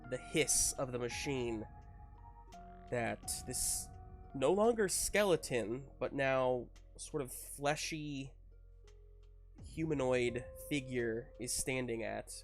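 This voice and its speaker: A game master improvising the narration of a tabletop game in a halting, searching way. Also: mid-range voice, animated, faint music behind.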